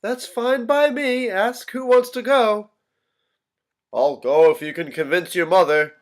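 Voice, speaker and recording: A man in a staged drama reading, playing a troubled young son who speaks haltingly and slips into his missing family's voices. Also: high-pitched. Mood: uneasy. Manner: halting, repetitive, switching tones of voice. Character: troubled young son losing his grip, pleading, echoing his vanished family.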